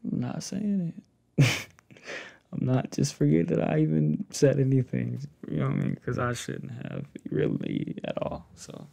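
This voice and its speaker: A young man speaking softly and hesitantly close to the mic in a casual, intimate ASMR roleplay. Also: mid-range voice. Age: young adult. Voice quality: gravelly, breathy. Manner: hesitant, halting, soft-spoken. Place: indoors, small home setup with fabric backdrop. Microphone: desktop condenser mic with pop filter, close up.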